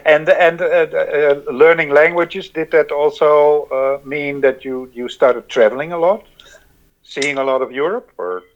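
Male Dutch podcast host, slightly high voice, interviewing remotely on a muffled lo-fi line. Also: fairly even intonation, a little slow. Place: at home, remote internet call. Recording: dull, lo-fi remote internet connection.

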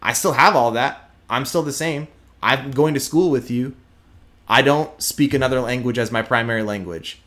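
A man's voice: mid-range voice, moderate pace, fairly bright tone. Earnest man with a slight Texas twang. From Houston, Texas. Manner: earnest, emphatic, restarts mid-sentence. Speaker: guest, prosecutor. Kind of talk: candid interview conversation.

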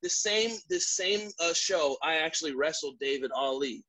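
Light-voiced guy telling wrestling stories, laughs a lot, kinda high, dry mic. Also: moderate pace, breathy edge, no room sound. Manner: animated storyteller, laughs often. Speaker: pro wrestler guest. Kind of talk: casual podcast interview.

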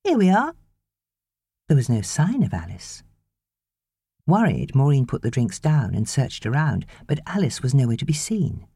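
Low-pitched, expressive woman narrating a story. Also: normal speed, gravelly, breathy edge, no room sound, studio quality. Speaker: audiobook narrator.

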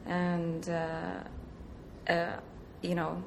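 Woman from Iraq, slightly low voice, hesitant and soft-spoken guest. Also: monotone, extremely slow, vocal fry, a little hiss or hum. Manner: soft-spoken, laughs often, hesitant fillers. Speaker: artist, interview guest. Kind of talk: casual interview answers.

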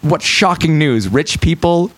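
A man's voice: on the high side, expressive, a little slow, low-quality recording. Candid self-deprecating man, casual talk. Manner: swears casually, speeds up when acting out his thoughts. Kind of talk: casual chat.

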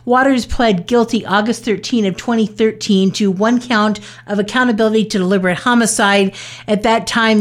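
A woman working as a news reporter, reading a scripted court report in a measured, matter-of-fact way. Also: mid-range voice.

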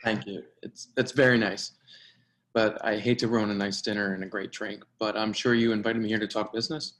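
A man's voice: fairly low pitch, little pitch movement, fairly quick, a bit bright, natural voice. A man playing a nervous, courteous professional in a screenplay reading, guarded and hesitant in how he speaks. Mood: polite. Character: Daniel, nervous, polite, reserved male professional. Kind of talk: dramatic screenplay table read.

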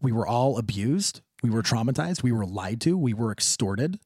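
An American man in his thirties talks as a guest in a casual interview. His voice sits at a middle pitch, and he speaks insistently, repeating himself for emphasis. The sound is dry, with almost no room. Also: animated, moderate pace, darkish tone, husky, dry recording. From Wyoming, United States. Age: 30s.

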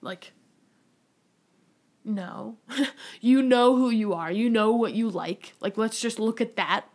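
Young woman guest, casual, filler-heavy, a bit high. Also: takes it slowly, darkish tone. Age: young adult. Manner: rambling, lots of "like" fillers. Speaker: podcast guest. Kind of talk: casual candid conversation.

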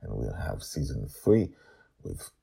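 Man, storytelling podcast narrator, very deep, raspy husky edge, emphatic deliberate phrasing, lively intonation. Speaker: author and narrator of a serial audio story.

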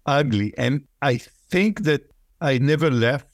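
A man from Israel, whose first language is Hebrew, speaking thoughtfully as a poet guest in an interview, pausing and laughing softly. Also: medium pitch, animated, dry recording, clean studio sound. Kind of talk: reflective interview talk.

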